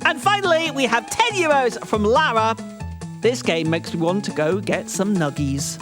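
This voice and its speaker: A woman hosts a live gaming marathon and reads donation messages. Her voice is fairly high and very animated, over clear music. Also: normal speed. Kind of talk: live announcing.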